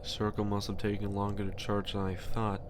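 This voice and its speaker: A calmly spiteful imprisoned enchanter, acted by a man in a fantasy story. The voice is fairly low, dry and understated, as if thinking aloud. There is faint background noise. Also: a little fast, close to an everyday voice. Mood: wry musing. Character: doomed male enchanter prisoner, wry and calmly spiteful.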